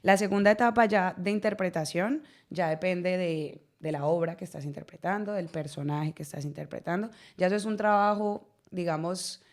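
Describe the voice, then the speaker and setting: A woman's voice: fairly low pitch, moderate pace, hardly any pauses. A Colombian woman in her thirties with a Colombian accent, an actress telling her story in a radio interview, laughing often and repeating words as she goes. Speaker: actress guest. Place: radio studio.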